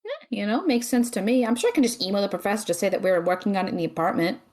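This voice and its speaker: Lively, sardonic young female student mage acted by a woman. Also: medium pitch, very fast, close to an everyday voice. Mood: dry, practical. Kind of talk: fantasy comedy audio drama acting.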